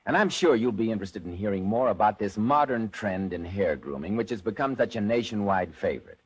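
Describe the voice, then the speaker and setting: A man's voice: fairly low pitch, expressive, brisk, slightly rough. An American man announcing as a 1940s radio program host, in a polished, emphatic, salesmanlike style.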